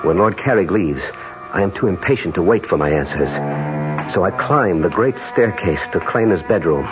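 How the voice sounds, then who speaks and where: A man's voice: deep voice, animated, moderate pace. An actor playing a tormented, desperate man in an old-time radio drama, intense and melodramatic as he narrates and speaks. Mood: brooding. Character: tormented man hurled into the past, obsessed and desperate. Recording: dull lo-fi radio recording with music.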